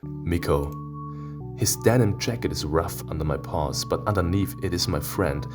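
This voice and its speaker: Deep, tender animal narrator, read by a man. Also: moderate pace, over music, close to an everyday voice. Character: introspective, tender anthropomorphic animal narrator of a romance visual novel.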